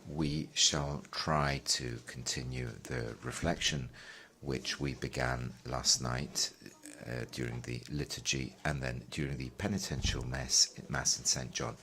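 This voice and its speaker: Deep-voiced male conference interpreter. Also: pushed and bright, slight background noise. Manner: measured, pausing with fillers as he keeps up. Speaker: simultaneous interpreter.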